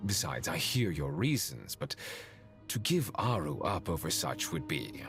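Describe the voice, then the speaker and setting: A haughty, refined ancient sage with a low voice and dry, condescending eloquence. He speaks in measured game-dialogue style over soft music. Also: very animated, heavily acted. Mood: grave, formal. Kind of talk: game voice acting.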